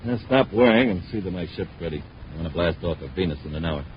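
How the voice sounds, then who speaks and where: Cocky, low-voiced crook boss. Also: male voice, animated, quick pace. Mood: defiant. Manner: boastful, tough. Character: cocky, scheming male space crook boss. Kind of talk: 1950s radio villain acting. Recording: dull, faintly noisy 1950s radio recording.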